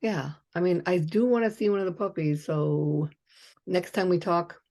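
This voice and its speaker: A woman's voice: fairly low pitch, normal speed, mellow. A woman in her fifties hosting a casual chat, blunt and chatty, swearing freely and reeling off lists. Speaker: host.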